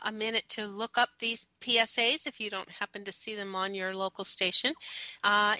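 A woman co-hosting a talk radio show, slightly raspy and hoarse from a cold, chatting casually with many ums and restarts. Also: mid-range voice, sharp tone, dry recording, poor audio. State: hoarse from the tail end of a cold.

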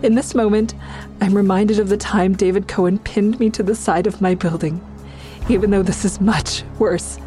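An actress playing a frightened woman who tells her own ordeal in an audio drama, with tense, measured storytelling. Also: mid-range voice, steady tone, mellow, close to an everyday voice. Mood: tense narration. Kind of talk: dramatic first-person narration and dialogue. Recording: music behind the voice.

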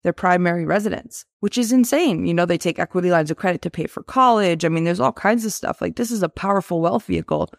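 A woman's voice: on the low side, vocal fry, no room sound. Chatty young woman, dry close sound. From Albania. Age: 20s. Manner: energetic, chatty, quick to laugh. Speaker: guest. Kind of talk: casual interview talk.

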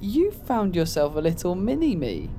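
A wry American male friend with a lively, swinging voice. He teases casually and playfully in a naturalistic audio drama, with music behind him. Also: high voice, unhurried, heavily acted. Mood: teasing.

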